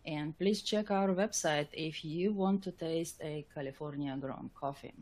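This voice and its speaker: Low-voiced woman giving a halting technical lecture. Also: a little mellow. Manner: halting, hesitant delivery. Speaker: scientist presenting a webinar.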